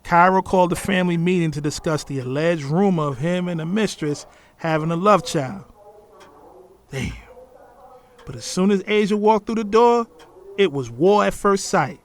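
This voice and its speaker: A man's voice: sits high, normal speed, lightly acted. A man acting an observant grown son, narrating a scripted audio drama in the first person. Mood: wry. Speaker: narrator and character.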